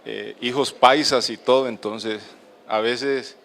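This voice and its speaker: A middle-aged man from the Colombian Caribbean coast, with a coastal accent mixed with Medellín's, giving a halting lecture to an auditorium with many fillers. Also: medium pitch, steady tone, vocal fry, fairly bright tone, a little hiss or hum. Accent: Colombian, coastal mixed with Medellín paisa. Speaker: speaker at a lecture. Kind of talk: lecture to an audience.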